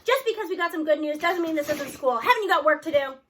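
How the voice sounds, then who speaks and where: A woman's voice: sits high, lively intonation, fast, fairly bright tone, a little hiss or hum, voice pushed up. A woman voicing a veteran male schoolteacher in a storybook, firm and devoted to the pupils. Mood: stern. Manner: firm, declarative delivery.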